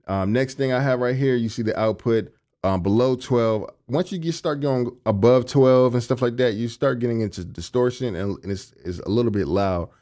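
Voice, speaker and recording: A young man with a low voice, casually walking through his vocal recording setup in his home studio, speaking into a studio condenser mic. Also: normal speed. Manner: relaxed, conversational, many fillers like "you know what I'm saying". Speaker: music producer and engineer. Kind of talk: casual tutorial walkthrough. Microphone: large studio condenser on a stand.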